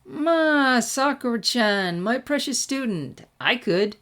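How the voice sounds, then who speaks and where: A woman's voice: a little high, expressive, takes it slowly, a bit bright, voice pushed up. A woman voicing a laid-back, wistful male ninja teacher in a story reading, with a coaxing manner.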